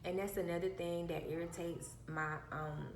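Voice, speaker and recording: A woman with a soft, childlike voice telling a personal story casually and emphatically, often repeating herself for effect. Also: on the low side, fairly even intonation, normal speed. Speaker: vlogger. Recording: roomy echo, faint background hum.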